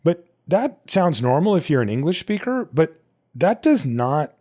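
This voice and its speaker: A man's voice: fairly high pitch, big pitch swings, a little slow, a little mellow. A middle-aged American man hosting a podcast, talking casually and conversationally as he answers questions and tells personal stories. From United States. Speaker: podcast host. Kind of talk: casual solo talk answering listener questions.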